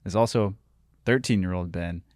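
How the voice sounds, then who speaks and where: Twenties guy cohosting a faith podcast, lowish voice, super dry close sound. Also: moderate pace, a little mellow, no echo. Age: 20s. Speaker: podcast co-host.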